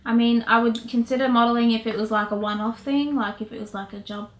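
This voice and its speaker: A woman's voice: on the high side, steady tone, fast talker. A woman in her twenties from South Sudan, a native Nuer speaker, chatting upbeat in a casual question-and-answer vlog. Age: 20s. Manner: chatty, upbeat, fillers like "you guys". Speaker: vlogger, co-host with partner. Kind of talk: casual Q&A chat. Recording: echoey room with faint noise.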